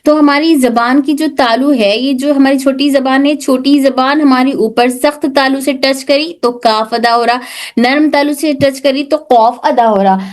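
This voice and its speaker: A woman's voice: high voice, steady tone, a little slow. A woman teaching an online class who asks questions and then answers them herself, mixing English terms into her explanations. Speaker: tajweed teacher.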